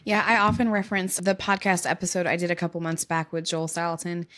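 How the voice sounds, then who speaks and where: A woman's voice: on the low side, level tone, quick pace, fairly bright tone. A woman in her thirties hosting a podcast and interviewing a guest, speaking in an affirming, reflective way at a studio microphone. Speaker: podcast host. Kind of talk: casual interview talk. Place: home studio with bookshelves. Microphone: studio condenser mic.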